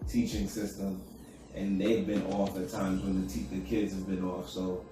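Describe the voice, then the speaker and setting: Low-voiced laid-back New man. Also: level tone, moderate pace. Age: adult with children. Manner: laid-back, rambling, talks low so as not to wake his sleeping son. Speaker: podcast co-host. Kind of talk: casual chat. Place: room at home. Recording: echoey room, distant mic, music.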